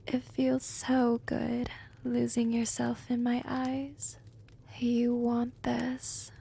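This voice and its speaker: A woman playing a seductive, commanding vampire in an ASMR roleplay, breathy and whispery, coaxing and teasing in a hypnotic manner. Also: a little high, completely flat delivery, dark tone, heavily acted. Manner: slow, hypnotic, coaxing, dominant.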